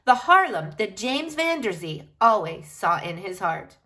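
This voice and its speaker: A woman reading a picture book aloud in an expressive, deliberate storytelling style. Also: medium pitch. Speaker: reader of a children's picture book.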